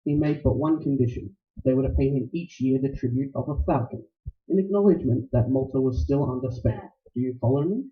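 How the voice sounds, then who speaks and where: A man playing a portly, scheming older crime boss in a radio play, speaking in a florid, formal, deliberate manner. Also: medium pitch, reverberant, phone-quality sound, lightly acted. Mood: courtly, firm. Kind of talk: radio play acting, noir villain. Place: live radio play performance in a roomy space.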